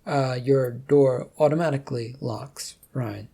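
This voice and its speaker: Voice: medium pitch, measured pace, gravelly, warm tone, husky. A narrator voicing a sensible, wry friend with a dry, patient, matter-of-fact way of talking. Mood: puzzled.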